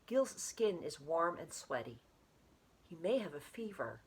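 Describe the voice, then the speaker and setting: A woman reading her own literary short story aloud in an expressive way. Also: low voice, unhurried, breathy edge.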